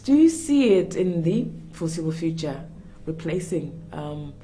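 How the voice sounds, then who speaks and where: A woman with a low, mellow voice hosts a TV business program. She asks probing questions and presses her guests with repeated follow-ups. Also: big pitch swings, measured pace, low-quality recording. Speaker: TV business show host. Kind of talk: TV interview hosting.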